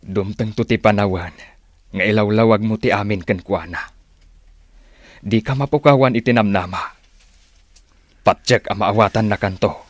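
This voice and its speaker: An actor playing a calm, wise old uncle who counsels in a measured, reassuring way, in an Ilocano-accented radio drama. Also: male voice, on the low side, no echo, lightly acted. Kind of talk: Filipino radio drama acting.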